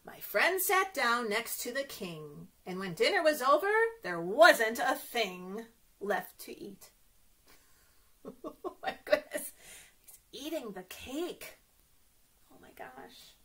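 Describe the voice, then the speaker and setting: A woman doing a children's story time, animated and sing-song, speaking straight to young listeners and asking them questions. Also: a little high, slow pace, pauses often. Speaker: children's librarian reading stories to kids.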